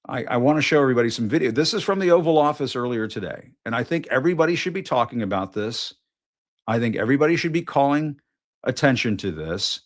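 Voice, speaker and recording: A middle-aged man hosting a political news show, talking casually and mockingly, often restarting and repeating his lines. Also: medium pitch.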